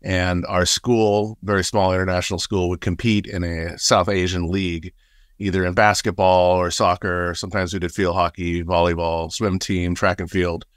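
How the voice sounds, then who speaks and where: Guest man, 40s, grew up in Nepal; animated, laughing storyteller on a dry, close webcam, slightly low voice. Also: normal speed, no room sound. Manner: animated, laughs often, gestures broadly. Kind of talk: casual storytelling. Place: small room with paper screens. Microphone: video call webcam.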